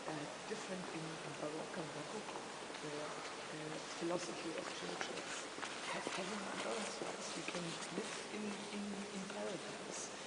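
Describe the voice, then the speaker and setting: Gravelly-voiced woman guide. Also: fairly low pitch, steady tone, very noisy. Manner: hushed, keeps asking "right?", pauses to search for words. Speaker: tour guide. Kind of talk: guided church tour. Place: inside a large echoing church.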